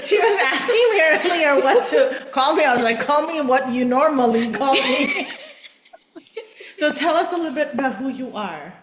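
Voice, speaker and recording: A woman's voice: animated, normal speed, a bit bright, highs cut off. A woman pastor hosting a casual church podcast chat, talking loosely and laughing often. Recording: echoey room with faint rumble.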